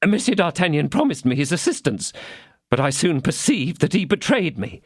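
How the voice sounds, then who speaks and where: A man voicing a frightened, fawning tradesman who pleads in a shrill, flustered voice. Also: very animated, moderate pace, husky, dry recording, studio quality. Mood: pleading. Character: frightened fawning middle-aged male mercer.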